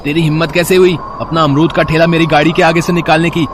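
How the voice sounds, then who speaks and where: A man's voice: on the high side, little pitch movement, quick pace, lightly acted. A man voicing an arrogant rich young college student who sneers and bullies in a moral story. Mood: bullying. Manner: sneering, haughty. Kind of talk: animated moral story dialogue. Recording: faint street noise behind.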